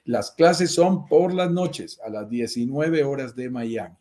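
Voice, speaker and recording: Male host, Colombian accent, mid-pitched voice, courteous explainer for an informal live business talk. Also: takes it slowly, dark tone. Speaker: host and commercial director of a real estate investment business. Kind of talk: conversational live show presenting.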